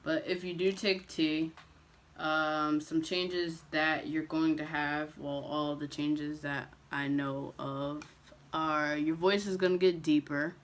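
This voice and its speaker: A young man chatting casually to camera as a vlogger, relaxed and loose, with frequent ums and restarts. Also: fairly high pitch, slow.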